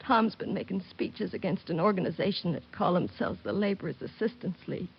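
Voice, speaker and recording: A woman's voice: lowish voice, animated, brisk, gravelly, husky, full character voice. A woman playing a shaken, drowsy, sorrowful young widow in an old-time radio drama. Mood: earnest, troubled. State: drowsy, upset. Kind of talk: 1940s radio drama acting. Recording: muffled old radio recording.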